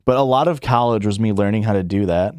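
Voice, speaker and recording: A man's voice: lowish voice, fast, a little mellow, smooth, no room sound, clean studio sound. A young adult man streaming live, reading questions aloud and answering in a rambling, casual way full of fillers and restarts. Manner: rambling, filler-heavy, self-correcting, chuckles.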